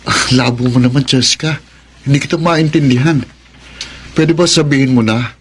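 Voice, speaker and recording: A man's voice: medium pitch, normal speed, slight background noise, close to an everyday voice. An actor with a Filipino accent playing a heartbroken, impatient young man in a radio drama, wounded and emotional. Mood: impatient, teasing.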